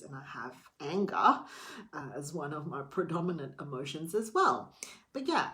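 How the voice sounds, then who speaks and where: A woman's voice: on the low side, a bit bright. A middle-aged woman talking candidly to camera in a casual late-night vlog, chatty and a little theatrical. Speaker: vlogger.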